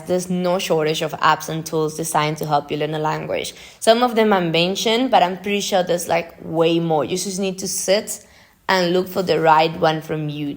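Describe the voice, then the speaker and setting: A young woman whose first language is Spanish hosts a solo podcast. Her voice sits a little low for a woman. She talks in a chatty, informal way, partly following notes and partly speaking off the cuff. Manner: chatty, informal, frequent use of "like". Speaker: podcast host.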